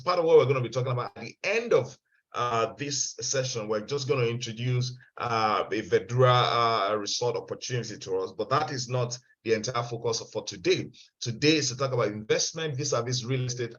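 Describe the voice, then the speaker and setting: A man leads an online seminar in a mid-pitched voice, restarting phrases and repeating words as he goes. The sound is dull and lo-fi, as heard over a video call. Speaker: webinar presenter.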